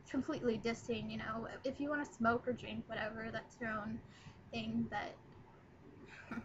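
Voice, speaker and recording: 19 yr old girl rambling on her laptop webcam, lots of ums, voice a bit high, faint hiss behind. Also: bright, forceful tone, poor audio. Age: 19, young adult. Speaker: vlogger. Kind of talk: casual first video blog. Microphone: laptop webcam mic.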